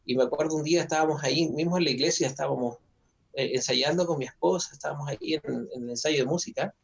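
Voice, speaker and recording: A man, a pastor, telling his story as an interview guest in a measured way with fillers. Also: a little high, creaky, slightly rough. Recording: dull lo-fi audio.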